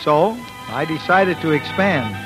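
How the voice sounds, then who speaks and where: A man's voice: on the high side, animated, a little slow, poor audio. An actor playing an earnest small-town youth who tells his life story in a folksy, wry 1940s radio style. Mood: wry, nostalgic. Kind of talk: scripted narration, 1940s radio acting. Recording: vintage radio recording with music.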